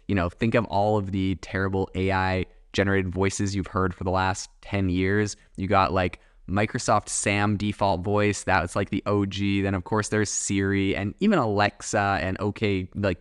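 A man's voice: sits low, level tone, moderate pace, hardly any pauses, clear, resonant tone, no room sound, studio quality. A man hosting his own podcast, talking casually in a rambling way with lots of fillers and restarts. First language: English. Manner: chatty, rambling with fillers and self-corrections. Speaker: podcast host. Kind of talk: casual solo commentary.

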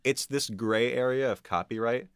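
A man's voice: mid-range voice, moderate pace, clear, resonant tone, no echo, clean studio sound. A man speaking as a guest composer in a casual interview, hesitant and restarting as he thinks aloud. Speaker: guest composer and audio engineer. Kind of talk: casual behind-the-scenes interview talk.

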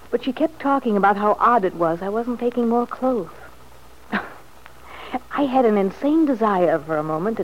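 An actress playing a bitter, anxious young wife in a vintage radio soap, with a brittle, ironic, weary manner. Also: female voice, mid-range voice, darkish tone, dull audio, natural voice. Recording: faintly noisy old radio recording.

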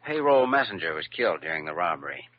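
A man's voice: low voice, very animated, fast talker, very noisy. An American man acting a dedicated, courteous young hospital doctor in an old-time radio drama. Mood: earnest. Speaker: lead actor in a radio drama. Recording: dull vintage radio recording with music.

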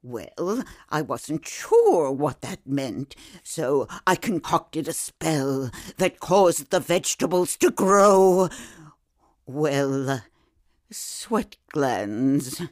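A woman's voice: deep voice, very animated, extremely slow, no room sound, exaggerated voice. A woman playing a haughty, dry-witted witch storyteller in a comic fantasy audio drama. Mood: dry, matter-of-fact. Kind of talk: scripted comic fantasy banter.

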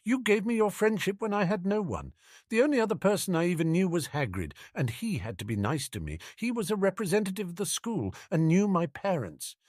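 A man's voice: sits high, big pitch swings, brisk, a little mellow, no room sound, clean studio sound, pitched above the performer's own voice. A man voicing a bold, flippant young wizard schoolboy who talks earnestly and cheekily in a storybook reading.

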